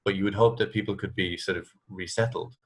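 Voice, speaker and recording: A man's voice: low-pitched, steady tone, brisk, slightly rough. A man interviewing on radio in a casual, conversational way, agreeable and feeling his way through questions.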